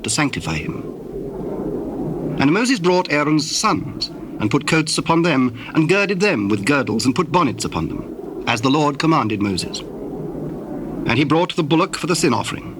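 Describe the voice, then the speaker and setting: Male narrator for scripture drama: measured, solemn, lightish pitch, audible ambient noise with birds behind.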